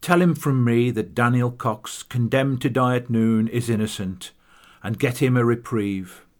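A man voicing a grand, gentle disgraced male army captain who speaks with dignified courtesy in an audiobook story. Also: medium pitch, unhurried, close to an everyday voice. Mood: solemn.

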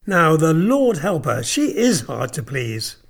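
A man's voice: high voice, very animated, slow, voice pushed up, heavily acted. A man voicing a sly, mocking old nobleman who drawls with sudden harsh bursts.

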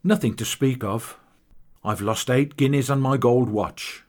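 A man voicing a composed gentleman army captain, speaking in a measured, grave way. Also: mid-range voice, lightly acted.

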